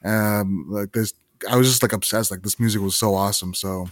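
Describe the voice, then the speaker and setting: A man from Canada, a guest on a podcast, telling his story in a relaxed way with many fillers and restarts. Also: on the low side, fairly quick, no room sound, studio quality. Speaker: guest, video director. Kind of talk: casual interview chat.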